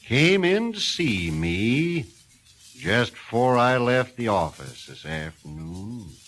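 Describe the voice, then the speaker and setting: A man playing an easygoing older small-town husband who speaks in a relaxed, folksy drawl. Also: medium pitch, slow, exaggerated voice. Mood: content.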